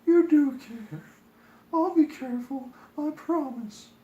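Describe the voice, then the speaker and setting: A man's voice: very high voice, slow, dark tone, reverberant, slight background noise, pitched above the performer's own voice, rough recording. A man voicing a sweet, innocent young princess in a storybook reading, polite and wide-eyed. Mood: affectionate. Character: sweet, innocent young fairy-tale princess, gushing and gentle.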